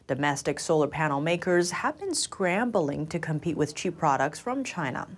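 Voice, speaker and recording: Young woman, news anchor, crisp scripted delivery, lowish voice, lively rise and fall, studio lapel mic. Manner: crisp, measured newsreader delivery.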